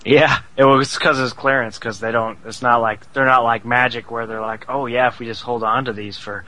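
A man's voice: medium pitch, fairly even intonation, moderate pace, pushed and bright, poor audio. A man from the US Northwest co-hosting a podcast, rambling and joking casually. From Spokane area, US Northwest. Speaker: podcast co-host.

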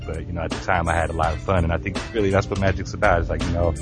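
A man guests on a casual podcast, chatting in an easygoing, rambling way. His voice is very deep and stays flat in pitch. Music is clearly audible behind him. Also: racing.

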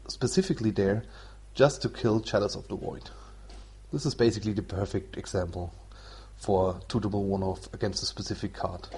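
A man from Germany with a German accent, hosting a solo podcast in an explanatory, somewhat halting non-native way. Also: fairly low pitch, a little mellow, breathy edge. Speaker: podcast host. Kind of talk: explanatory solo podcast talk about card game strategy.

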